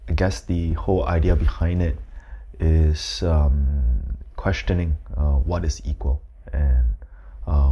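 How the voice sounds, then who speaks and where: A man in his thirties from Malaysia, a photographer, answering interview questions indoors in a measured, thoughtful way with frequent ums. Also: extremely low pitch, dark tone, breathy edge, muffled recording. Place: indoors, plain-walled room.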